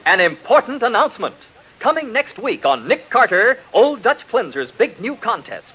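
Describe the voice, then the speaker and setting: A man's voice: very high-pitched, very animated, moderate pace, highs cut off. A man announcing on 1940s radio, polished and emphatic as he sells and narrates. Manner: polished, emphatic sales delivery. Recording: vintage 1940s radio broadcast, music behind.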